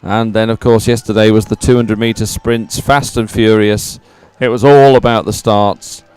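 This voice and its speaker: A man giving live sports commentary as an event announcer, in a measured, hesitant way with frequent pauses. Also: lowish voice, flat delivery, a little mellow, clear, resonant tone.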